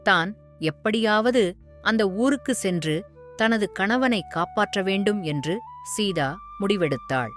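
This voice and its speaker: Slow, measured woman storyteller. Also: medium pitch, lively intonation, fairly bright tone, soft background music. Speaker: narrator. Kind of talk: storybook narration for children.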